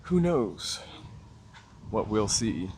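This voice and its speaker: A man's voice: on the low side, big pitch swings, very slow, a little mellow, a little hiss or hum. A man chatting casually to viewers as he walks city streets, greeting them by name and pointing out sights. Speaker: live-stream walking vlogger. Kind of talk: casual live-stream chat to viewers. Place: outdoors on city streets, walking. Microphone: handheld gimbal camera mic.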